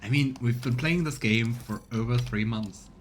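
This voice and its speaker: A man's voice: medium pitch, normal speed, slight background noise. A man giving casual let's play commentary, musing aloud and reading game text out as he streams. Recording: game music behind. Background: video game music.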